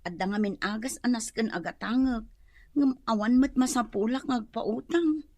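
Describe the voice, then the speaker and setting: A woman's voice: fairly high pitch, moderate pace, a little mellow, dry recording. A woman with an Ilocano accent playing a worried, earnest mother who pleads for help in a radio drama. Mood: pleading. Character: worried, pleading mother seeking a loan, grateful.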